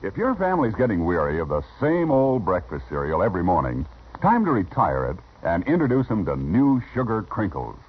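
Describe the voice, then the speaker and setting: Very animated, deep-voiced radio adman. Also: fairly quick, a little hiss or hum. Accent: American. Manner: emphatic, folksy salesman. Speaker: commercial announcer. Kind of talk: 1950s radio advertising pitch. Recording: dull old radio recording.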